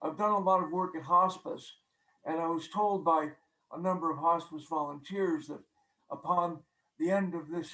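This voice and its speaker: A man's voice: on the high side, bright, forceful tone, poor audio. An older man speaking conversationally as a guest, telling stories and explaining things over a video call. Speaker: guest author and singer-songwriter.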